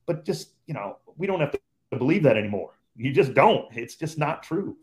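A man's voice: fairly high pitch, very animated, moderate pace. A man who calls games on the radio, a guest on a podcast chat, talking in an emphatic, opinionated way and repeating points for stress.

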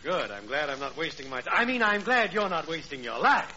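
A glib, very bright-voiced radio swindler. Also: male voice, on the high side, big pitch swings, brisk, full character voice. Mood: hearty, flattering. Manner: effusive, smooth-talking. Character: glib, hearty male swindler, an old pal flattering his mark. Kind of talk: 1940s radio comedy acting. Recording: dull, hissy 1940s radio recording.

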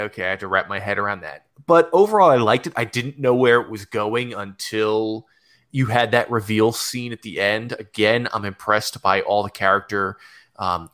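A man on a podcast panel giving measured, qualified opinions in casual review chat. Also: on the low side, fairly bright tone.

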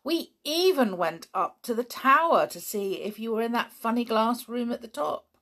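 A woman's voice: fairly high pitch, lively intonation, normal speed, fairly bright tone, voice pushed up. A woman voicing a curious, determined boy who keeps pressing for answers. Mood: insistent. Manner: eager and pushy.

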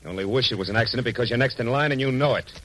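An American actor playing a tough, sardonic private detective in an old radio noir drama, with curt, slangy delivery. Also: male voice, medium pitch, racing, a bit bright, natural voice, low-quality recording. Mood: menacing. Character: hard-boiled, bullying male private detective, sardonic and tough. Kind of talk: 1940s-1950s radio noir acting and first-person narration.

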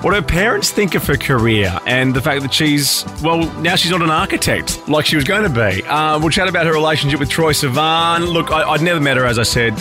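A man hosting a podcast, chatty and self-joking, interviewing a guest over a video call. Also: medium pitch. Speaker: podcast host. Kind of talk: casual interview.

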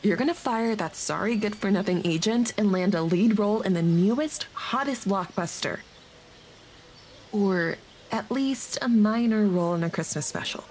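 A woman acting as a mouthy, big-hearted single mom in an audio comedy. She is bossy and protective, with a mid-range pitch, over faint ambient noise. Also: normal speed, darkish tone, lightly acted. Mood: indignant.

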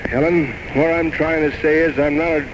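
A man's voice: on the high side, fairly even intonation, moderate pace, close to an everyday voice. An actor playing a tormented, grandiose alcoholic writer in his thirties, rambling theatrically and bitterly mocking himself in an old radio drama. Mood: bitter, anguished. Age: 30s. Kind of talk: 1940s-1950s radio drama acting. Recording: noisy old radio broadcast recording.

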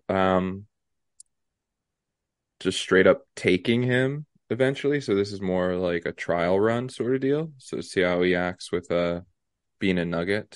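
A young man talks in a slightly low voice clogged with a stuffy nose. He halts often, filling gaps with ums and restarting sentences. The sound is close and dry. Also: dry recording. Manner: halting, many ums and restarts. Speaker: podcast co-host. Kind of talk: casual chat.

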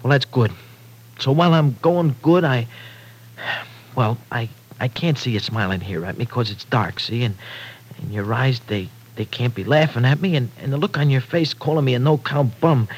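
An American actor playing a lovestruck young tough guy in an old-time radio drama, talking in halting, slangy, streetwise speech. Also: medium pitch, husky, lightly acted. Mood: earnest confession. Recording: noisy vintage radio recording.

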